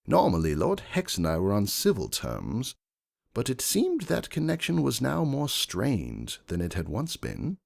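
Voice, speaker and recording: A man voicing a shrewd, composed aristocratic unicorn stallion who narrates his own story in a measured, calculating way. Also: mid-range voice, huge pitch swings, vocal fry, a little mellow, full character voice. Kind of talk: first-person dramatic fan fiction narration.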